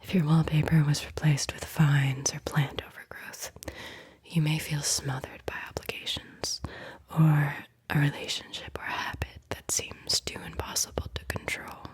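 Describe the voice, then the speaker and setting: A woman's voice: low-pitched, flat delivery, a little slow, breathy edge. A woman whispering a playful guided visualization as an ASMR host, close to the mic.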